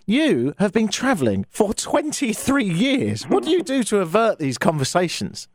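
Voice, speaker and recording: A British man hosting an interview, chatty and animated, acting out little dialogues as he tells stories. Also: sits high, moderate pace, dry recording, clean studio sound. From United Kingdom. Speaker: host. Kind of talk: casual interview.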